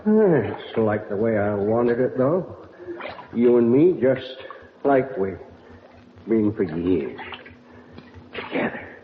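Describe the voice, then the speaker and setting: An actor playing a grumbling, loyal old cowboy sidekick in a Western radio drama, with a gravelly rustic voice. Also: male voice, medium pitch, very animated, takes it slowly, long pauses. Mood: weary, despairing. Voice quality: gravelly, creaky. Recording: echoey, noisy, muffled old radio recording.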